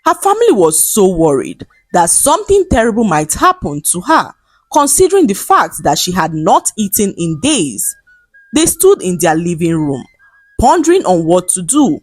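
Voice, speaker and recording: A woman reading a scripted folktale as a storyteller, in a dramatic and expressive manner. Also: fairly low pitch, moderate pace, husky, dry recording, faint music behind. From Nigeria.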